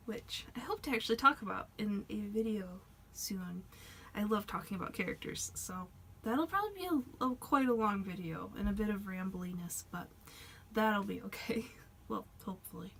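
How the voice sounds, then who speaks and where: A woman chatting casually in a vlog, rambling and self-conscious, her voice a bit worn as she is losing it. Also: a little high. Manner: rambling, self-conscious, soft-spoken with laughs. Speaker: doll hobby vlogger.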